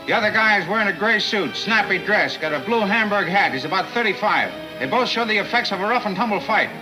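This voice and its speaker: A man's voice: high voice, lively intonation, fast talker, low-quality recording. A man playing a businesslike police detective, clipped and matter-of-fact, in 1940s crime serial style. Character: brisk, businesslike male police detective reporting in. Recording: old 1940s film soundtrack with music.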